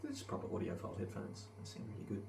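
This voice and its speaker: Low-voiced man, roomy distant recording. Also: wildly swooping pitch, very fast, vocal fry. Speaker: headphone reviewer and gamer. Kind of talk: casual rambling review and gameplay commentary. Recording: roomy, distant mic with faint background noise.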